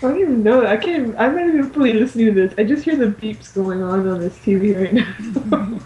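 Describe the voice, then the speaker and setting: A woman's voice: on the high side, expressive, a little fast, dark tone, muffled recording. A young woman co-hosting a casual fan podcast, talking in an informal, rambling way full of filler words. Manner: informal, rambling, says "like" often. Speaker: podcast co-host.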